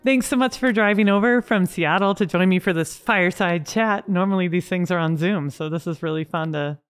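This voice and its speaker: A woman hosting a podcast interview, upbeat and friendly and quick to laugh, chatting in person by a fireplace. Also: on the low side, clear, resonant tone, faint music behind. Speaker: podcast host. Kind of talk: casual interview chat. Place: indoors by a fireplace.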